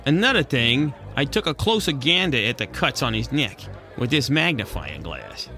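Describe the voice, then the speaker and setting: A man's voice: medium pitch, sing-song, moderate pace, creaky, sharp tone, soft background music, full character voice. A man playing a hard-boiled penguin private eye, narrating a noir radio drama in wisecracking slang. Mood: matter-of-fact.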